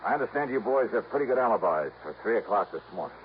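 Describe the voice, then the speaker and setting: A man acting a worldly American investigator in an old radio mystery. His delivery is lively and swinging, brisk and wry, always pressing on. The recording is muffled, with clear noise behind it. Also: lowish voice, close to an everyday voice. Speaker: lead actor. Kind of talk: 1950s radio detective drama acting, first-person narration.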